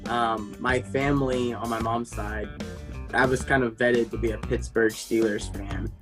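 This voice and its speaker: Mid-pitched man in casual chat. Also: fairly even intonation, unhurried, over music. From California, USA.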